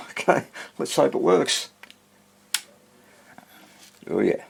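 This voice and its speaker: Man, animated pitch, some gravel, rambling hands-on commentary, unscripted, hobbyist repairer. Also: extremely slow, a little hiss or hum. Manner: rambling, thinking aloud while working. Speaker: hobbyist radio restorer presenting own repair. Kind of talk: casual unscripted workbench commentary. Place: indoor workbench.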